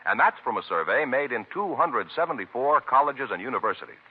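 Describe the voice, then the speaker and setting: A man's voice: medium pitch, big pitch swings, fairly quick, breathy edge. An American man announcing in an emphatic, formal 1950s radio style. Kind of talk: 1950s radio announcing, dramatic scripted intro and ad read. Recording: muffled vintage radio recording with music.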